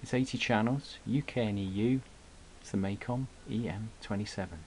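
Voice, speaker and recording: A man with a fairly low voice presents gear in an informal hobbyist demo. He speaks haltingly, pausing often. The indoor camera recording carries faint background noise. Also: a little mellow, low-quality recording. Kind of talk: unscripted product demonstration. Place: indoor room at a radio desk. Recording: clear background noise, clicks.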